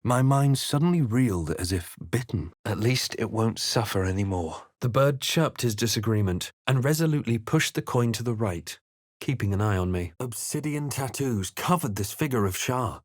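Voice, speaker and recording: An actor playing a brooding male fantasy adventurer who recounts his journey in a grave, measured, theatrical way for a video game. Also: mid-range voice, animated, no room sound, studio quality, lightly acted. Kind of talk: scripted dramatic narration and game character barks.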